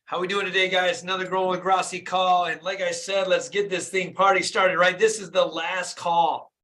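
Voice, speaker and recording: A man in his fifties from Michigan, a dentist hosting a live motivational call in an energetic, hyped-up way, often asking his listeners "right?". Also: sits high, normal speed. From Flint, Michigan, USA. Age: 50s. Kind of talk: motivational live-stream talk.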